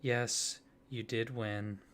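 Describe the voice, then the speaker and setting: A man doing a soft-spoken ASMR roleplay, hesitant and flustered, with many fillers, restarts and awkward apologies. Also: medium pitch, level tone, extremely slow, slight background noise.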